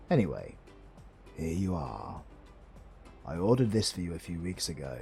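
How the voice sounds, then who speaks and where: Deep, creaky British supervillain played by a man. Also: warm tone. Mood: fond, teasing. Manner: slow, teasing, theatrical. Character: suave, sardonic male supervillain, playfully flirtatious. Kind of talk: romantic comedy audio roleplay.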